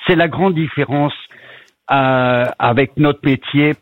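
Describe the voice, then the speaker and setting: A middle-aged man from northern France, with a French accent and a slight Yorkshire tinge, calls in to a radio show and talks haltingly with many fillers. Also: medium pitch, dry recording. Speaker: caller, baker abroad. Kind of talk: phone-in chat on radio. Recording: tinny phone line, dry.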